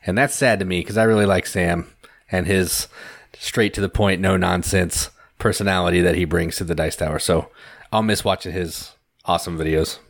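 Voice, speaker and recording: A man with a very low voice co-hosting a casual podcast. He talks in an easygoing way, often with short replies. The recording is dry, with no room echo. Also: fairly even intonation, normal speed, vocal fry. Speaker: podcast co-host. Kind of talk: casual chat.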